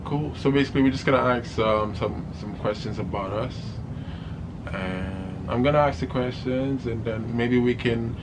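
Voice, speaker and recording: A young man from the Caribbean chats in a relaxed, joking way and teases as he runs a couple's Q&A. He sits on a couch in a living room and speaks to a nearby camera. A steady background noise hums under his voice. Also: medium pitch, normal speed. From Saint Vincent, Caribbean. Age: 20s. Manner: laid-back, joking, teasing. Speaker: vlogger asking Q&A questions. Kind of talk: casual couple Q&A chat.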